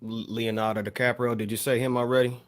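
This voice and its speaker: Mid-pitched American man, casual commentary. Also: flat delivery, quick pace. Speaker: panelist on a hip hop talk show.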